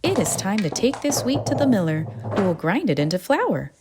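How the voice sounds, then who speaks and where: A woman voicing an industrious, indignant little red hen in an emphatic, animated way for a children's storybook. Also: medium pitch, normal speed, very noisy, pitched above the performer's own voice, lightly acted. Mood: eager, asking. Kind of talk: children's storybook read-aloud.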